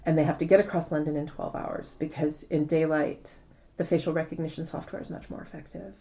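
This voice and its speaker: Female author in interview; low-ish, very soft-edged mellow voice, articulate step-by-step explainer. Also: fairly even intonation, fairly quick.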